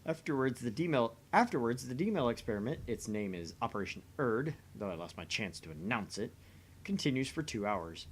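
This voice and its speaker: A man's voice: mid-range voice, very animated, normal speed, slight background noise. A man hosting and narrating a visual novel read-along, mixing scripted narration with casual asides.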